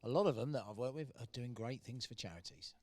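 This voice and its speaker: A man hosting a casual radio interview, brisk and encouraging. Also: medium pitch, a little mellow, no room sound. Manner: brisk and encouraging, short replies. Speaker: radio show host.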